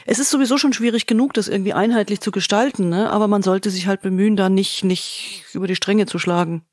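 A woman's voice: mid-range voice, fairly even intonation, fast talker, a little mellow, no echo, studio quality. A middle-aged woman co-hosting a podcast in casual discussion as a critic.

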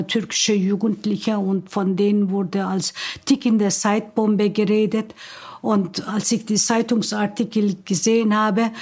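An older woman in her seventies with a Turkish accent recalls her life. She speaks slowly and unhurriedly, stopping often to find her words. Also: mid-range voice, darkish tone. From Turkey. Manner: slow and thoughtful, with frequent hesitations. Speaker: interviewee. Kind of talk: personal recollection.

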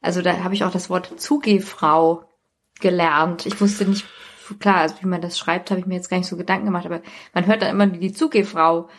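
A woman's voice: fairly low pitch, steady tone, fairly quick, a little hiss or hum. A woman chatting casually as a podcast guest, recounting things in a loose, tentative, rambling way.

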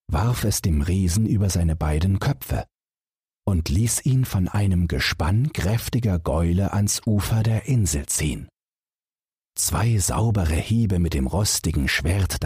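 Low, very husky male storyteller. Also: no echo, studio quality. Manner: measured, expressive storytelling. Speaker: audiobook narrator. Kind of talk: scripted bedtime fairy-tale narration.

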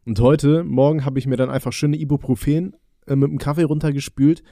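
Young male podcast co-host, warm, dark tone, chatty and stammering, dry sound. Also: a little high, fairly even intonation, a little fast, dry recording. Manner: rambling, stammering restarts, joking. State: recovering from a cold. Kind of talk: casual chat.

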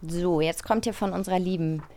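A woman's voice: lowish voice, fairly quick, dark tone, slight background noise. A woman from eastern Germany co-hosting a podcast in casual, conversational talk. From East Germany. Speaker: podcast co-host. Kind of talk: casual chat.

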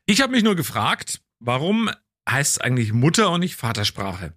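A man's voice: mid-range voice, big pitch swings, moderate pace, a bit bright, dry recording, clean studio sound. A man co-hosting a morning radio show, bantering jokily and reading listener letters aloud.

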